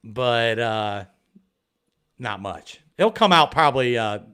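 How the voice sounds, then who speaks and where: Guy from ohio chatting on a live stream, gets excited, trips over his words a lot. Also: medium pitch, slow, clear, resonant tone. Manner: excitable, rambling, many restarts. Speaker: metal detecting YouTuber, guest. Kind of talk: casual live stream chat.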